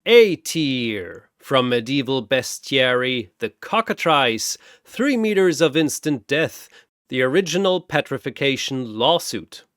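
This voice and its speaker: Audio-story arena god, played by a male narrator: theatrical, grandiose, teasing ringmaster, animated delivery. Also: mid-range voice, takes it slowly, dry recording, clean studio sound. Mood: showy, mocking. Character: bored, gleefully mocking four-armed almighty god playing arena announcer. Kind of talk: bombastic showman ring announcing.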